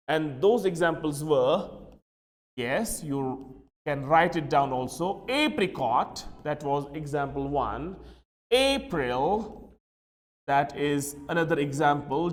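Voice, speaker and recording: Brief male teacher, high lively voice, reverberant room, drills words slowly. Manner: switches between English and Hindi, repeats words slowly for drilling. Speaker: English teacher. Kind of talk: classroom pronunciation lesson.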